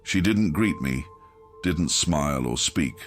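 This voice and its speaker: A man's voice: very deep voice, lively intonation, takes it slowly, soft background music. A man narrating a grimdark horror audiobook in a grave, brooding, dramatic first-person manner.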